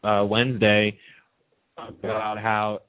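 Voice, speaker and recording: A man's voice: lowish voice, monotone, slow pace, no room sound. A man chatting casually on a radio panel, opinionated and emphatic, repeating himself to make a point. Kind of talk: casual chat about TV soaps. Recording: muffled lo-fi phone-line radio sound.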